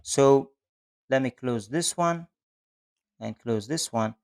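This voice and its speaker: A man presenting an online software tutorial, explaining each step methodically and repeating key points. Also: medium pitch, slow pace, creaky. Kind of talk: step-by-step screen-share tutorial narration. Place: voice-over on a screen recording.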